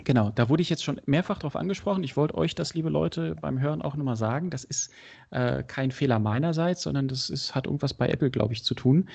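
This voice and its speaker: A man's voice: a little high, brisk, gravelly, darkish tone, slightly rough. A man from Berlin hosting a casual podcast interview, chatty and enthusiastic, often addressing his listeners directly. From Berlin, Germany. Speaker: podcast host.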